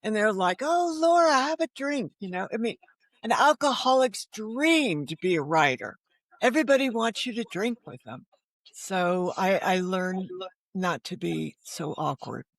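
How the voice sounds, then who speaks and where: Casting: American woman around 70, candid, emphatic storyteller, medium pitch, dry close recording. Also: expressive, unhurried, no echo, low-quality recording. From Connecticut, United States. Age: older, about 70. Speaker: novelist, interview guest.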